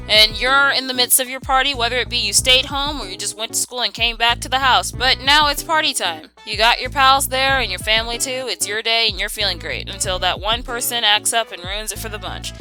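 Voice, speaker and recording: A woman's voice: a little high, sharp tone, low-quality recording. A young woman delivering a casual scripted rant in an exasperated, sassy and opinionated way. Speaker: video creator.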